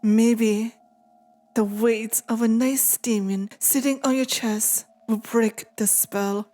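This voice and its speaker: A woman's voice: a little high, steady tone, takes it slowly, mellow, soft background music. A woman playing a possessive, taunting female demon in an intimate ASMR roleplay, speaking to the listener in a teasing, menacing way. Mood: teasing, ominous.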